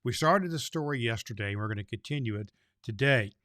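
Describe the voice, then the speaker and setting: Man playing a bright-voiced, folksy jolly Santa Claus. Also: medium pitch, animated, fairly quick, no room sound, studio quality, natural voice. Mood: cheerful, folksy. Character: jolly big-hearted Santa Claus greeting children. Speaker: podcast host and storyteller. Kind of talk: children's holiday story podcast.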